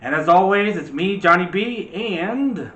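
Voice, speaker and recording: A man's voice: sits high, lively intonation, unhurried, fairly bright tone, dull audio. A man hosting a movie discussion show in a casual, chatty and opinionated way. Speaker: host of a movie review show. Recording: echoey room.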